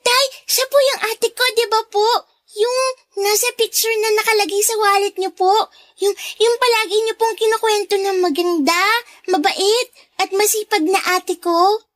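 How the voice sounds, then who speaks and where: Very high-pitched, polite little girl. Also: fairly even intonation, normal speed, no echo, studio quality. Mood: eager, sweet. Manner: polite, childlike, deferential. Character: polite, eager young girl, sweet little sister. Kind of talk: Filipino radio drama acting.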